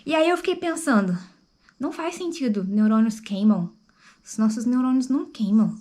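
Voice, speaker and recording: Woman vlogger with a high voice who reviews books chattily to camera and checks in with viewers. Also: lively intonation, normal speed, a little mellow. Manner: chatty, explains, asks viewers "right?". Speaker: book vlogger. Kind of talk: casual book review to camera.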